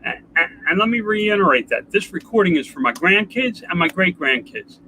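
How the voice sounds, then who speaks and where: An older man with a congested nasal voice, talking in a rambling, halting way in a casual home video update. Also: high voice, very animated, sharp tone, a little hiss or hum, poor audio. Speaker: vlogger. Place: outdoors.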